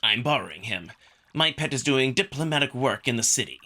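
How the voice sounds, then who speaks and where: A man's voice: mid-range voice, lively intonation, brisk, sharp tone. A man voicing a haughty, irritable alien wolf prince in a visual novel, curt and dismissive. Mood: irritated, dismissive.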